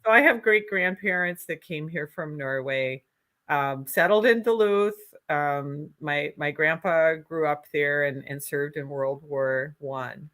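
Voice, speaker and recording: Minnesota-accented woman, lowish voice. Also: fairly bright tone. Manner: earnest, halting with many ums and restarts. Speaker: candidate for Secretary of State. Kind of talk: political interview, conversational.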